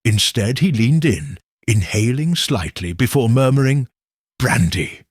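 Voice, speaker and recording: A man narrating a detective story in the first person with slow, expressive delivery. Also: medium pitch, husky, no echo, clean studio sound. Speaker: audiobook narrator.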